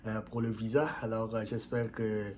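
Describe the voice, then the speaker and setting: A man hosting his own channel, giving earnest advice in a casual way, repeating key phrases and jumping up in pitch on stressed words. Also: little pitch movement, moderate pace, darkish tone, slight background noise. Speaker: YouTube channel host.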